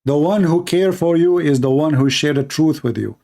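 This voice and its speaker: A man's voice: a little high, normal speed, dark tone. A man giving emphatic preaching commentary to his viewers, declaiming and repeating lines for emphasis. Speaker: online religious debater.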